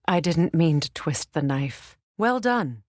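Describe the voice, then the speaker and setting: A woman's voice: low voice, very animated, slow, a little mellow, no echo, full character voice. A proud Norse goddess from a fantasy video game. She is a middle-aged warrior and mother who carries grief. Her words are formal and earnest, in a close, dry recording. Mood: grave, measured. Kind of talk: dramatic game dialogue.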